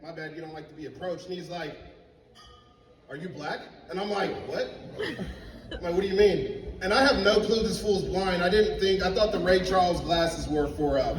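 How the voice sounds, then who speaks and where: A young man from Liberia, high-pitched for a man, tells stand-up stories and acts out every voice in them. He sounds far from the microphone in a club with a long ring of reverb, and room noise sits clearly under him. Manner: animated storytelling, acting out dialogue. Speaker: stand-up comedian. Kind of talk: stand-up comedy set. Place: comedy club stage. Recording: echoey club sound from a distance. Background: audience laughter.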